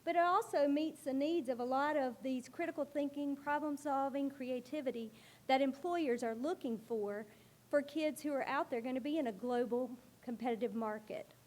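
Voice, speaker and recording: A woman school librarian giving a presentation to a board meeting, with a loud, carrying voice and an earnest, explanatory manner. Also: high voice, normal speed.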